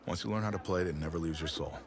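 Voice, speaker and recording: An actor playing a folksy, encouraging high school football coach in a game cutscene, wry in manner, with ambient noise behind. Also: male voice, racing.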